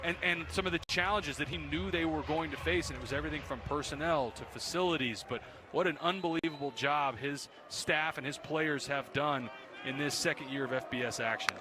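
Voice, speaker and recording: A man's voice: a little high, brisk. A man working as a color commentator, giving analysis during a live football broadcast.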